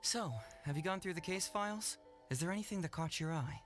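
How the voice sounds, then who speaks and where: A clever young detective in a video game. He is articulate, confident and a little playful, with loud background music behind him. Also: high voice, brisk. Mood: businesslike. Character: young male detective, clever, confident, earnest about justice.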